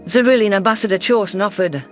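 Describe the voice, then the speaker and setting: A man narrating a science fiction story in an even, scripted storytelling delivery. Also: high-pitched, quick pace, faint music behind.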